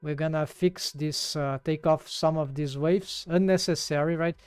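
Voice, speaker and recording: A man's voice: on the high side, fairly even intonation, moderate pace, darkish tone, smooth, no echo. A man with a Brazilian accent, working through a 3D rigging task live and thinking aloud with many fillers and restarts. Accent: Brazilian Portuguese. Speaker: 3D rigging streamer. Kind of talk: live tutorial while working.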